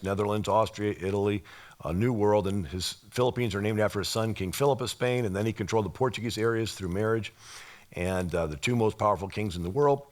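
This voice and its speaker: A man with a slightly raspy voice giving an informal history lecture, explaining things and posing rhetorical questions as he goes. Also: on the low side.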